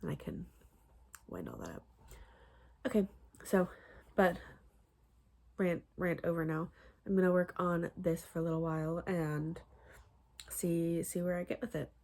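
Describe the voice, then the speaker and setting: A young woman chatting casually about her crochet projects, rambling with many fillers and restarts, her voice a little husky. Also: on the low side, animated, a little slow, long pauses, darkish tone. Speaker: crochet vlogger. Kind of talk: casual chat.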